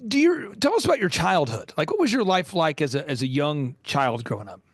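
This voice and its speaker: High-pitched guy hosting a podcast, loud and punchy, super dry sound. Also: big pitch swings, a little fast, no echo. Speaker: host.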